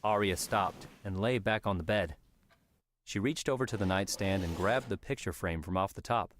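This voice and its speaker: A man's voice: lowish voice, moderate pace, slight background noise. A man reading scripted story narration as an audiobook narrator. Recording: music under the voice.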